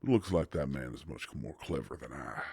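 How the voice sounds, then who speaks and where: A man playing a gruff, protective werewolf private investigator in a dramatic audio roleplay, with a gravelly voice and a slow, menacing, sardonic, folksy tough-guy delivery. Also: rumbling low, animated, warm tone, no echo, heavily acted. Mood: growling threat.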